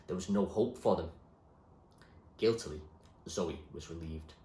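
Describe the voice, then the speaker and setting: A man reading a novel aloud as expressive storybook narration, like a teacher reading to a class. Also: lowish voice, roomy sound.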